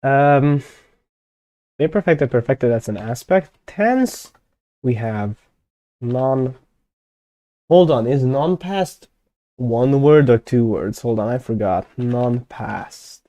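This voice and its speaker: A young man whose first language is Hungarian chats on a live stream in a mellow, warm tone. The recording is dry and close, with no room sound. He thinks aloud, trails off with fillers and corrects himself often. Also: mid-range voice, lively intonation, slow pace, pauses often, no echo. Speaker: conlang streamer. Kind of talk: casual live-stream chat.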